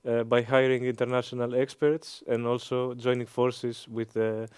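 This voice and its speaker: A man in his thirties with a Greek accent, answering interview questions with many hesitant pauses and fillers. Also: medium pitch, completely flat delivery, darkish tone, smooth, dry recording. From Greece. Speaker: interview guest, engineering professor.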